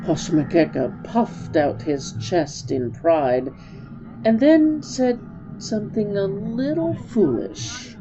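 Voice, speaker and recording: A woman's voice: mid-range voice, big pitch swings, slow, muffled recording, over music. A woman storyteller giving a dramatic reading of a story.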